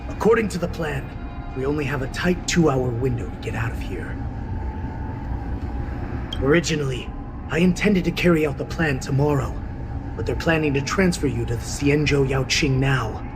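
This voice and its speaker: A man's voice: mid-range voice, moderate pace, music in the background, lightly acted. An actor voicing a sly beast-man counselor in a video game, speaking deferentially and servilely to his lord. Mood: earnest.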